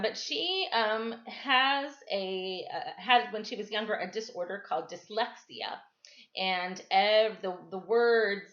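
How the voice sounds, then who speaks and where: Bright-voiced woman reading in an echoey room. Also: medium pitch. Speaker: storytime reader. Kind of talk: casual storybook read-aloud for children. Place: indoors at home, kitchen and another room. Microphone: camera microphone. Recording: echoey room, slightly dull camera audio.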